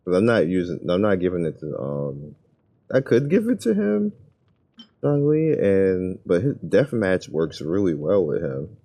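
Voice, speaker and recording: Low, slow-talking man, casual. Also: huge pitch swings, mellow. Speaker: gaming video creator. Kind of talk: casual gameplay commentary, thinking aloud.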